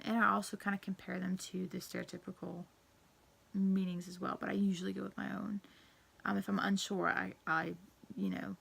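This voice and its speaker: A woman losing her voice, croaky, chatting casually and rambling with lots of fillers and swearing. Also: mid-range voice. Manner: profane, rambling, frequent fillers. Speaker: witchcraft vlogger. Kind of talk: casual tag-video chat.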